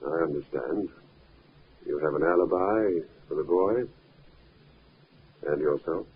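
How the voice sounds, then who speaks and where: An actor playing a calm, shrewd police inspector in a 1950s radio drama, measured, probing and understated. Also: male voice, extremely low pitch. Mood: calm, polite. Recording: narrow-band, hissy 1950s radio recording.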